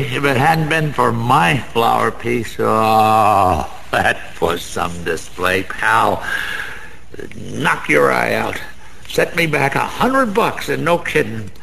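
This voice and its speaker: A man's voice: medium pitch, vocal fry, a bit bright, full character voice, poor audio. An actor playing a bitter, sentimental, down-on-his-luck American small-time gambler in a radio drama, talking in rambling, world-weary slang. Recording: faint background noise.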